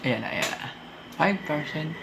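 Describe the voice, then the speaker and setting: A man's voice: a little high, animated, unhurried, gravelly. A Filipino man presenting an informal hands-on tech tutorial, thinking aloud as he goes step by step. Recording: noisy amateur room recording.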